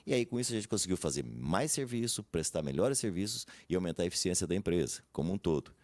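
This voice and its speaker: A man's voice: deep voice, big pitch swings, fast talker, a little mellow, no room sound. A man, a guest in a radio interview, talking in a rambling, hesitant way and tagging his sentences with "né?". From Brazil, grew up in São José dos Campos. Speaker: guest, company director.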